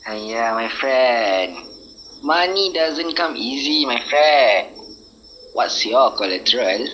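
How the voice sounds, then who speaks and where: A man's voice: medium pitch, expressive, pushed and bright, slight background noise, rough recording. A man playing a gloating, taunting underworld loan shark in an amateur radio drama, drawing out his words. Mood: menacing. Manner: slow, drawn-out, taunting delivery with chuckles.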